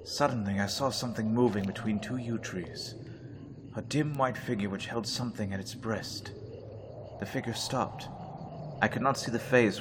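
An actor playing a frightened young doctor who narrates his diary in a hushed, dread-filled storytelling manner. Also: on the low side, background noise, natural voice. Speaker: radio drama actor. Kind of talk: old-time radio drama narration.

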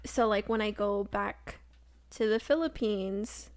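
A woman's voice: medium pitch, takes it slowly, dry recording. Young American woman, casual co-host, rambling filler-heavy delivery, dry close mic. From United States. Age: young adult. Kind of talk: casual chat.

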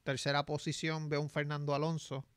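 A man co-hosting a casual talk, relaxed and hesitant. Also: fairly high pitch, brisk, clean tone, dry recording. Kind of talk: casual chat.

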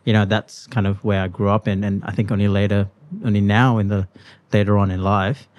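A man's voice: lowish voice, a little fast, no room sound. A man in his thirties from Malaysia, casually recounting his past in a conversation, with many fillers. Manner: relaxed storytelling with many fillers. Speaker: guest.

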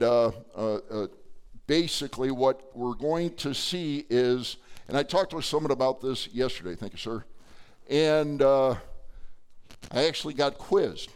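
Male pastor, slightly hoarse, smooth mid-range voice, conversational sermon delivery. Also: mid-range voice. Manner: conversational preaching with many fillers. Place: church sanctuary.

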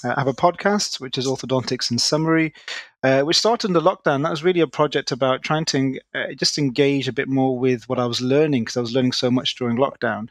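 A man talks as a podcast guest, explaining things articulately and quickly and restarting his phrases now and then. His voice sits at a middle pitch, and the recording is dry and close. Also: dry recording. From Manchester, England. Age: 30s. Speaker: guest orthodontist. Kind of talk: podcast interview.

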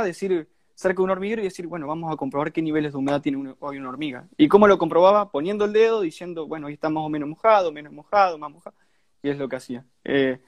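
Higher-voiced Argentine teenage guy, dry sound. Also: no echo. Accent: Argentine Spanish. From Santa Fe, Argentina. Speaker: guest hobbyist ant keeper. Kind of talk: casual explanation in a live chat.

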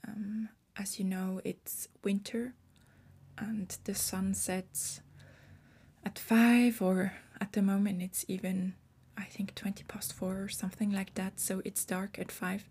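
A woman's voice: mid-range voice, little pitch movement, slow pace, darkish tone, husky. A woman in her twenties hosting her own podcast, explaining things carefully and correcting her wording as she goes. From Switzerland. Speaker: podcast host.

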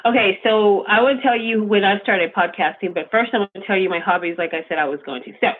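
A man hosting a casual podcast chat, rambling and halting, with many fillers and restarts. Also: high voice, slight background noise. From United States, childhood in Colombia. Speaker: podcast host. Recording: tinny phone-like line.

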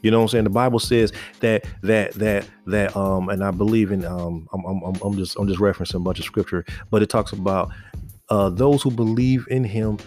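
Earnest guy in his 40s, lowish voice, casual preaching, stumbles and repeats himself, soft music behind. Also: steady tone, brisk. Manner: earnest, exhorting, many restarts and repeated words. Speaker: faith podcast host.

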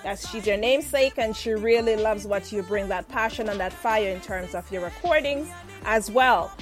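A woman's voice: medium pitch, moderate pace, soft background music. A woman hosting an interview show. She gushes with praise and laughs often. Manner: effusive, gushing with praise and frequent laughter. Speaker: radio show host. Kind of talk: lively online interview.

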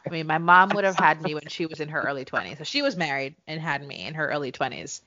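A woman in her thirties talks frankly and with humor, laughing often. Her voice sits very low. The recording sounds dull and lo-fi. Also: lively intonation, fast, bright, forceful tone, no echo. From Jamaica. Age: 30s. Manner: candid, laughing, frequent swearing. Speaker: podcast co-host. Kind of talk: casual chat.

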